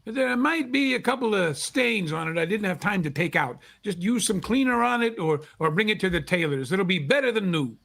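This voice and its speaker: A man's voice: sits high, expressive, moderate pace, gravelly, hardly any pauses, a bit bright, exaggerated voice. A man playing a pushy second-hand shopkeeper, haggling and wheedling in a vintage-style radio drama. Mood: salesman-like. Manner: haggling, salesman-like.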